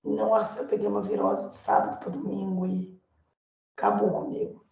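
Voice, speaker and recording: A Brazilian woman teacher with a hoarse voice from a viral illness, lecturing informally in an online class, full of fillers and tag questions. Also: medium pitch, little pitch movement, moderate pace, darkish tone. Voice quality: hoarse, gravelly. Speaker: Portuguese teacher. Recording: echoey, dull lo-fi room sound.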